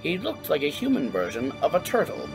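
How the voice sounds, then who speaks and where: Male story narrator: higher register, unhurried, animated scripted read over background music. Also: vocal fry, low-quality recording. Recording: music behind the voice.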